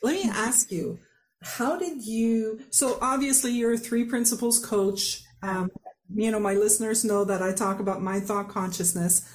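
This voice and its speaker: A woman's voice: mid-range voice, fairly even intonation, moderate pace. A woman in her fifties hosting a podcast. She chats casually with a guest over a video call and laughs often. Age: 50s. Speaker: podcast host. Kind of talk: casual chat.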